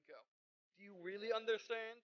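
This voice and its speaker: Male let's player, high-pitched, casual, stumbles through dialogue; dull home recording. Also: no room sound. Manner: halting, stumbling read-aloud with self-corrections. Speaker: gaming video host. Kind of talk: casual let's play, reading dialogue aloud.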